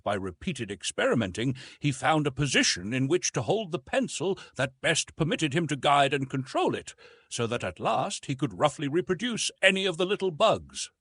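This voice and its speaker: Male narrator, literary audiobook, highly varied intonation, dry close sound, measured dramatic emphasis. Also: fairly bright tone, slightly rough, dry recording.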